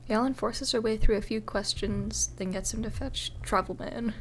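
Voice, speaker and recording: A woman's voice: medium pitch, normal speed, a little hiss or hum, poor audio. A woman gaming creator giving informal, reactive let's play commentary.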